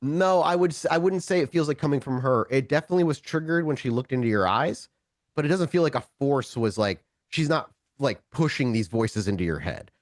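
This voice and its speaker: A man leads a streamed tabletop game in a slightly low voice. He moves between deliberate, dramatic storytelling and offhand explanations to his players. The sound is dry and close. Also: animated, no echo. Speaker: game master of a streamed tabletop roleplaying show. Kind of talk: improvised second-person narration mixed with casual game talk.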